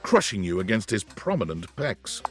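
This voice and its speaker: A man's voice: lowish voice, big pitch swings, moderate pace, husky, soft background music. A man narrating an interactive story in the second person.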